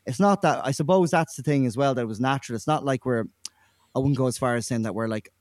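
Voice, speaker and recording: A man's voice: medium pitch, quick pace, darkish tone, no echo, clean studio sound. A man, a musician answering interview questions thoughtfully and with some hesitation. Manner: thoughtful, hesitant, many likes. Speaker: musician guest.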